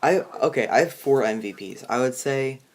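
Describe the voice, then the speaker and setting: A young man hosting a casual review chat, talking in a halting, rambling, informal way. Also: mid-range voice. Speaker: host of a movie review video.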